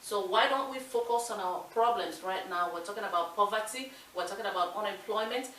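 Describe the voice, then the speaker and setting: A woman's voice: medium pitch, a little fast, a bit bright. Earnest Nigerian woman, echoey room. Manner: earnest, emphatic. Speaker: talk show co-host. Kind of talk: casual discussion. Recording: echoey room with light hiss, mic distant.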